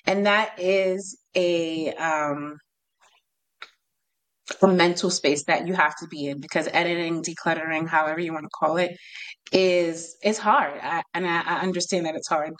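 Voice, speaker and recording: A woman's voice: lowish voice, steady tone, rough recording. A woman with a New York accent, a home organizer as podcast guest, chatting casually and laughing often. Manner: laughs often, talks in bursts with pauses.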